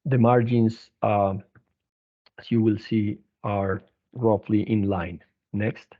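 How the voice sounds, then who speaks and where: A man presenting company financial results as an executive on an earnings conference call, speaking haltingly with frequent restarts. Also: fairly low pitch, steady tone. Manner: halting, hesitant delivery with repeated restarts. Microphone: telephone conference line. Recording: narrow, tinny phone-line conference call.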